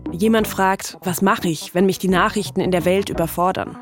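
Female documentary narrator, mid pitch, scripted read, noticeable music underneath. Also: steady tone, moderate pace. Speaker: documentary podcast host.

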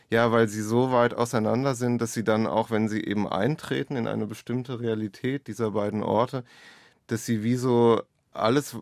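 A man's voice: lowish voice, darkish tone, no room sound, studio quality. A man being interviewed as an author, talking reflectively in hesitant, searching explanations full of qualifiers.

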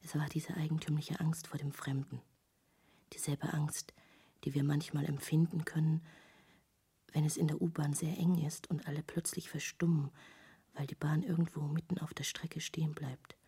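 Breathy, half-whispering, brooding painter. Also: female voice, deep voice, level tone, dark tone, full character voice. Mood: hushed, wondering. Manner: intimate, deliberate, brooding. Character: intense female painter hungry for forbidden knowledge, musing. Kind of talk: literary German radio play acting.

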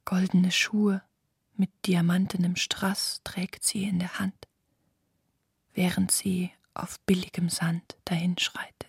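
A woman narrates scripted literary prose in an extremely breathy, whispered voice. She phrases slowly and deliberately, and the recording is very dry. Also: lowish voice, level tone, no room sound. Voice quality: breathy, whispery, largely unvoiced.

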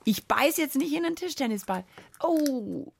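A woman's voice: high-pitched, expressive, normal speed, gravelly, a little hiss or hum. A woman co-hosting a children's science podcast in casual, playful, exclamatory banter.

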